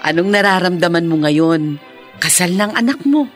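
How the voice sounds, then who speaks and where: An actress playing a frank, gossipy friend in a Filipino radio drama, chatty, teasing and scolding. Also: female voice, fairly low pitch, expressive, moderate pace, music in the background, lightly acted. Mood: exasperated. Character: frank, gossipy, exasperated woman friend of a mother.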